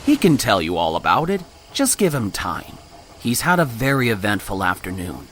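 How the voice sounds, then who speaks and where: A man playing a calm, considerate rescue engine in an audio drama. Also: fairly low pitch, moderate pace, slight background noise. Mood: reassuring.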